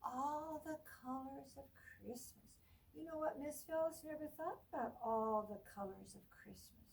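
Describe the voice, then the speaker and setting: A woman librarian reading a picture book aloud to children in a slow, sing-song voice, gently coaxing her young listeners and lifting her voice on each rhyme. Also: high voice, gravelly. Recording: voice distant from the mic.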